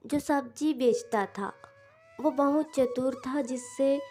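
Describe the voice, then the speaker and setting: A woman's voice: high-pitched, a little mellow. A woman narrator reading a scripted cartoon story for children. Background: music.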